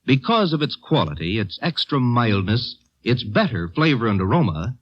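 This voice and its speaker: A man's voice: mid-range voice, very animated, moderate pace. A man with an American accent reading a scripted 1950s radio advertisement as the commercial announcer. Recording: muffled vintage radio recording.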